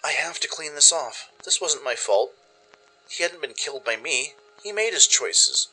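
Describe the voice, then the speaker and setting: A man playing an anxious, tender-hearted young mare pony wandering a wasteland, in an expressive, introspective radio drama style. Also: a little high, normal speed, husky, voice pushed up, heavily acted, poor audio. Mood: anguished, pleading. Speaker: narrator and voice performer. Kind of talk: first-person dramatic audio story narration. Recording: music under the voice. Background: music.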